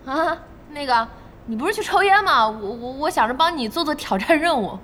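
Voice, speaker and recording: An actress playing an excitable, blunt young woman who runs an internet cafe in an audio drama, expressive and exclaiming. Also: high-pitched, a little fast, slight background noise. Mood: flustered.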